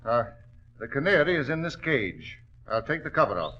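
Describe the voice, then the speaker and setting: An actor playing a devoted, worried mine hand, earnest and deferential, in a 1940s radio drama. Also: male voice, mid-range voice, very animated, moderate pace, slight background noise, lightly acted. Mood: helpful, solemn. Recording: dull old-time radio recording.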